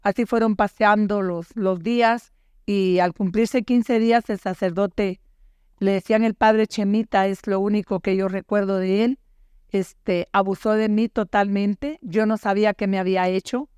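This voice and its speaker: An older woman tells her own story in a quiet, measured way, sometimes halting. Her voice is smooth and clear and keeps a level, even pitch. The sound is dry, with no room around it. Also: no room sound. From Guatemala. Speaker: guest survivor. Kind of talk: personal testimony.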